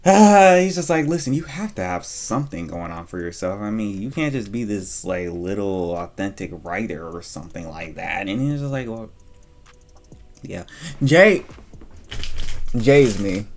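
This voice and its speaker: A man's voice: on the low side, lively intonation, normal speed, a little mellow. A man giving casual reaction commentary in an informal way, repeating phrases for emphasis. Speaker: reaction video host.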